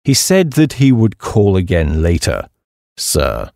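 A man voicing an unflappable, deferential English valet in a comic audiobook, speaking formally and with measured understatement in a British accent. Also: deep voice, wildly swooping pitch, gravelly, warm tone, dry recording, clean studio sound, voice pushed down, exaggerated voice. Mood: deferential, composed.

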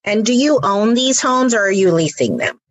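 A woman's voice: on the high side, animated, moderate pace, no room sound, muffled recording. A woman hosting an interview, asking questions and responding often with short affirmations. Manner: engaged, frequent short affirmations. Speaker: interview host. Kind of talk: conversational interview.

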